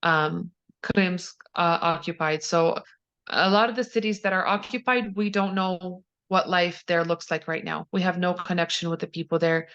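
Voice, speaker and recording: A woman's voice: lowish voice, steady tone, normal speed, a bit bright. A woman from Ukraine answering interview questions, hesitant, with many fillers and restarts. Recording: dull lo-fi audio.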